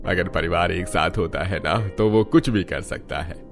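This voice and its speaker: A man voices a kindly old father-in-law in an animated family tale. His voice is low and worn-out in manner, sighing and plaintive, over a musical backing. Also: unhurried. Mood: wry, warm. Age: older. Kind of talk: Hindi cartoon story dialogue. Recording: music behind the voice.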